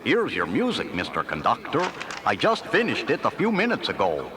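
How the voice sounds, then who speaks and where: A man's voice: mid-range voice, huge pitch swings, a loud crowd. A man voicing a gangly, dim-witted but good-natured cartoon dog with a throaty drawl, in a storybook read-along. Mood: pompous. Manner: bumbling, slow, swooping delivery. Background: music.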